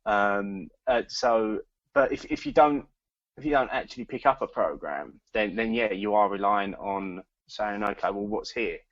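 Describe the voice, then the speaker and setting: A man co-hosting a casual podcast chat, talking in halting bursts with many restarts and repeated words. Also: lowish voice, no room sound, dull audio. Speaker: podcast co-host.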